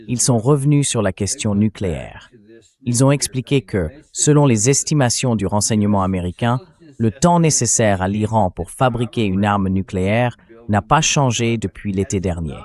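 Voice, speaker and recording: A man with a fairly low voice hosts a political commentary programme. He lays out his argument in a measured, explanatory way, with the sound of a synthetic translated voice-over. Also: lively intonation, a little mellow. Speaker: host.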